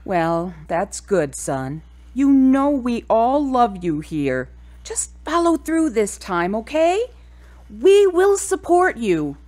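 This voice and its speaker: A woman's voice: on the high side, sing-song, takes it slowly, a little mellow. A woman playing a stern but loving middle-aged mother in an audio drama, probing and admonishing her grown son. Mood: supportive.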